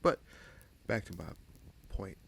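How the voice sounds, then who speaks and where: An actor playing a wry, candid bastard prince in a fantasy audio roleplay, speaking in a measured, musing way with frequent pauses. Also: male voice, a bit bright. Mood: amused, earnest. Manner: measured, musing, pausing often mid-sentence. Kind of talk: fantasy audio roleplay, slow intimate monologue.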